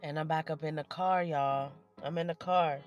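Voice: medium pitch, fairly even intonation, moderate pace, lo-fi sound. Stuffy-nosed host talking in a car. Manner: rambling, profane, playful. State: stuffy nose. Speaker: podcast host. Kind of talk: casual solo podcast monologue. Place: inside a parked car at night. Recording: music playing behind the voice.

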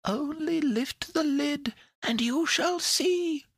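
Man voicing a high-pitched, coaxing little fairy. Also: slow, fairly bright tone, no echo, studio quality, heavily acted. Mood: sweet coaxing. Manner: coaxing, sing-song, cheery. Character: tiny winged fairy creature, female, sweet, coaxing, cheerful. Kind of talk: storybook character voice.

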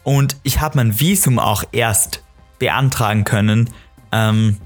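Low-voiced Austrian teenage guy podcasting. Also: takes it slowly. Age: teens, exchange student. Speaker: podcast host. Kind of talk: casual chatty solo podcast storytelling. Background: clearly audible music.

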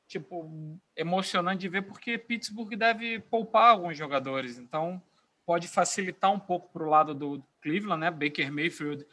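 Brazilian guy on a sports podcast, kinda high voice, slangy casual game picks. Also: moderate pace, sharp tone. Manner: informal, colloquial, slangy. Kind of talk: casual football game predictions.

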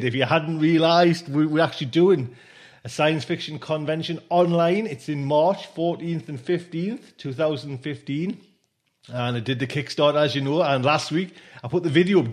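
A man hosting a podcast in a casual, rambling, informal way, dropping in conversational tag phrases. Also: a little high. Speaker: podcast host.

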